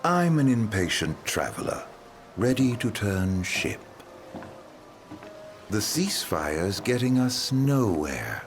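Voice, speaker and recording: Slow, theatrically swooping enigmatic traveler. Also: male voice, lowish voice, sing-song, noisy background. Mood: sly, teasing. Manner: theatrical, arch, unhurried. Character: suave, enigmatic male traveler, theatrically teasing. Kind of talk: video game cutscene acting.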